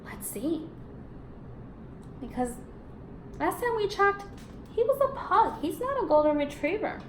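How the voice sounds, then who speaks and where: A woman's voice: very high voice, sing-song, a little slow. A woman teacher reading a storybook aloud to young pupils, expressively, prompting them with questions and affirming answers. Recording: roomy echo, faint background noise.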